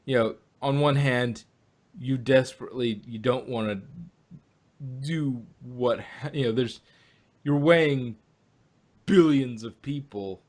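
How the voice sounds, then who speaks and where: A man's voice: medium pitch, takes it slowly, long pauses, a little mellow. A man co-hosting an audio drama review podcast, talking loosely with many restarts and easy laughs. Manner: rambling, restarts often, laughs easily. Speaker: podcast co-host. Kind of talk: casual review chat.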